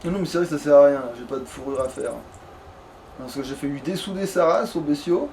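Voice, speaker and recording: A man's voice: a little high, brisk, a little mellow. A man chatting casually in crude, slangy as he comments on a video game he is playing. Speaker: let's play gamer. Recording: noisy room, distant microphone.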